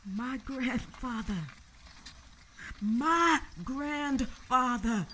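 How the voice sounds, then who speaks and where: A woman's voice: high-pitched, expressive, extremely slow, a bit bright, slight background noise. A woman narrating a radio drama in a solemn, emphatic and intense way. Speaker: storyteller.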